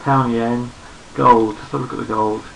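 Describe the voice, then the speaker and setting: A hoarse man who is losing his voice, talking through market charts in an unhurried, think-aloud way and often repeating words as he goes. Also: medium pitch, fairly even intonation, fairly bright tone, slight background noise, poor audio. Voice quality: gravelly. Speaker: trading analyst. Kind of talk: screen-recorded market chart commentary.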